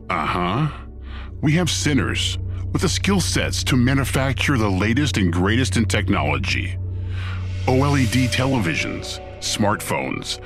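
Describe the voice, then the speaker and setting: An actor playing a smug, flippant Satan in an audio drama, glib and slangy like a scheming boss. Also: male voice, on the low side, a bit bright, heavily acted.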